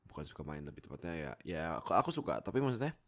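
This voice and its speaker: A young man, chatting casually and playfully to viewers on a close mic, often pausing and interrupting himself. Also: sits low, sing-song. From Indonesia. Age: young adult. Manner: playful, self-interrupting, hesitant pauses, jokey asides. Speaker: YouTube content creator and voice actor. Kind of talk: casual rambling chat to viewers. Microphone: close desktop microphone.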